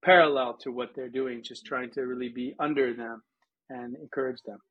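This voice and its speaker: American guy chatting as an interview guest, kinda raspy, sound a bit muffled like a call. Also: mid-range voice, steady tone, moderate pace. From United States, Colorado. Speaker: interview guest, missions organization worker. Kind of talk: conversational interview answers with anecdotes.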